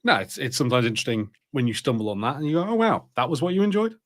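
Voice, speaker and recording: A man co-hosting a podcast, chatting casually in a halting, hesitant way. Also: mid-range voice, big pitch swings. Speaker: podcast co-host. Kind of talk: casual chat.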